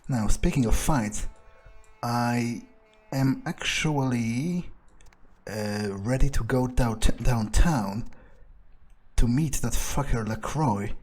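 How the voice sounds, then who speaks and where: A man role-playing a proud, power-hungry, foul-mouthed vampire in a video game playthrough, thinking aloud in a halting way. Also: mid-range voice, a little mellow. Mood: brooding, scheming. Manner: musing aloud, halting, self-satisfied. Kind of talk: in-character game playthrough monologue.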